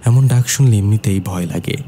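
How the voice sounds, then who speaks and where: A man playing a bold, mischievous young man who narrates and acts in an animated horror tale. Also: on the low side, fast talker, a little hiss or hum, close to an everyday voice. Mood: suspenseful. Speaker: narrator and character. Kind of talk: scripted horror cartoon narration and dialogue.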